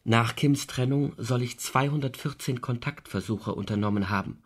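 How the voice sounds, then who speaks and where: An actor playing an earnest, troubled man under suspicion in a radio drama, with a husky voice and insistent delivery. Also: medium pitch, normal speed, lightly acted.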